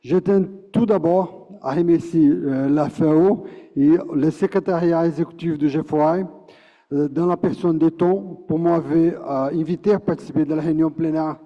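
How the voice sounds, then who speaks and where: A man's voice: fairly high pitch, steady tone, normal speed. A man from Brazil, speaking with a Brazilian Portuguese accent, gives a formal prepared speech at a conference, with a declamatory delivery and frequent self-corrections. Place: large conference hall. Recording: muffled conference hall reverb.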